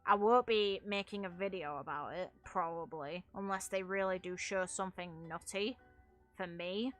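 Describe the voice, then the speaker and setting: A woman's voice: fairly low pitch, moderate pace. A woman chatting casually on a livestream as a game streamer, giving her opinions in an emphatic, opinionated way. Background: music.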